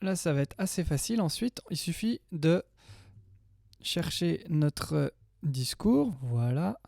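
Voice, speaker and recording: A man's voice: fairly high pitch, lively intonation, slow pace, creaky, darkish tone, dry recording, studio quality. A man in his thirties giving a casual, chatty, slangy tutorial as a music production tutor. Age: 30s. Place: small home studio indoors.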